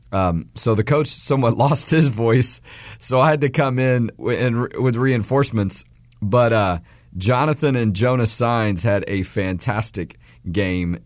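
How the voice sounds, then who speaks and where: A man in his forties from Texas, hosting a radio talk show in a hoarse voice, rambling with restarts and fillers. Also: fairly low pitch, dry recording. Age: 40s.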